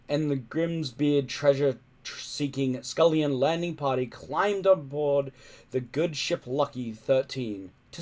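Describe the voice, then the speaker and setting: A man reading a children's adventure story aloud in expressive narration. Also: mid-range voice. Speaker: reader.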